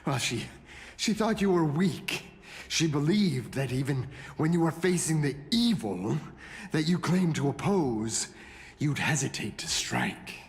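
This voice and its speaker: Slow, taunting, sly villain. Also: male voice, on the high side, animated, long reverb tail. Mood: mocking. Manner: mocking, drawling, theatrical pauses. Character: sly, taunting male villain henchman, smugly unhurried. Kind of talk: dramatic fantasy game voice acting.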